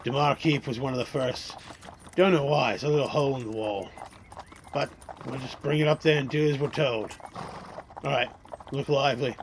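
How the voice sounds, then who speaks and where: A man's voice: medium pitch, normal speed, long pauses, slight background noise, natural voice. Man acting a folksy fantasy cart driver. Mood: reassuring, wary. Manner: folksy, old-time phrasing, instructing. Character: gruff experienced male cart driver guiding a younger companion. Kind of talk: fantasy radio drama acting.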